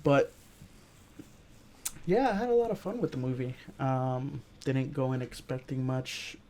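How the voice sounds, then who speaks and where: A male co-host with plenty of vocal fry gives his opinions in a casual chat. He comes across laid-back and agreeable, talking in a loose, conversational way. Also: medium pitch, unhurried, a little mellow, slight background noise. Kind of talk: casual chat, film review.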